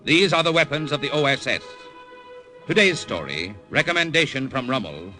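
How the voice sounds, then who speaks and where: A man announcing a 1940s radio drama in a slow, weighty, portentous manner. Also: mid-range voice, expressive, sharp tone, soft background music.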